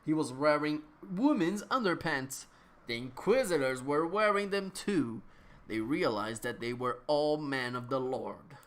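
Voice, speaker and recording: Low-voiced woman doing a dramatic reading. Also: expressive, unhurried. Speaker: narrator, reader. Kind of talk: dramatic reading of absurd comic fiction.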